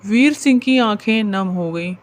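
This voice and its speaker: A woman's voice: medium pitch, lively intonation, a little slow. A woman narrating a scripted moral story as the narrator.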